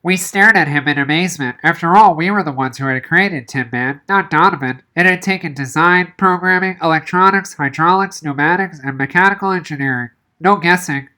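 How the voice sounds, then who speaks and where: A woman's voice: fairly low pitch, fairly quick, hardly any pauses, pushed and bright. A woman reading a children's novel aloud as narration. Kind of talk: audiobook-style read-aloud narration.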